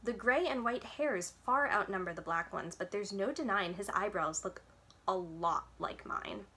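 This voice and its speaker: A woman reads a children's book aloud in the manner of a library storyteller. Her voice sits at an average pitch for a woman as she delivers the scripted narration. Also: measured pace, sharp tone.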